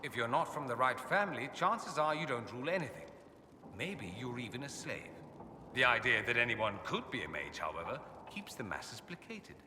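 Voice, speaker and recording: A very bright, crisp-edged male voice playing a witty, self-assured noble mage in a fantasy game. His delivery is suave, theatrical and sardonic, swooping with lively pitch. It rings in an echoey hall over faint ambient noise. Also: normal speed. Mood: wry, explanatory. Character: urbane, witty, self-assured foreign aristocrat mage, adult man. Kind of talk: video game dialogue acting.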